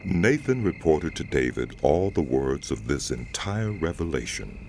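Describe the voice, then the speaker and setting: A man's voice: extremely low pitch, very animated, a little slow, a little mellow, slight background noise, heavily acted. A man narrating a dramatized scripture reading as a scripted storyteller.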